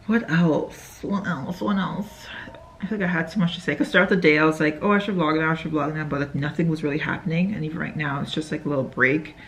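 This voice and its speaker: A woman's voice: deep voice, fairly even intonation, quick pace, slight background noise, low-quality recording. A young woman vlogging casually from a hotel bed, rambling and chatty with lots of fillers and self-corrections. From Canada. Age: young adult. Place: hotel room, indoors, sitting in bed. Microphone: phone camera held close.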